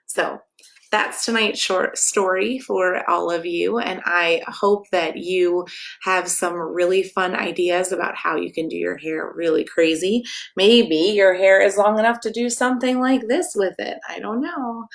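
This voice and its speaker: Low-pitched American woman with slight creak, storytelling. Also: steady tone, a little slow. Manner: playful, sing-song emphasis, speaking directly to children. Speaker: pastor reading a picture book to children. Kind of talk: children's story time, expressive read-aloud and casual chat.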